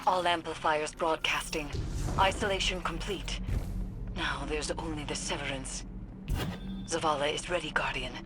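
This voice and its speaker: An actress playing a grave, measured ally giving hushed reports. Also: female voice, deep voice, fairly even intonation, music in the background, lightly acted. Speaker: ally and advisor.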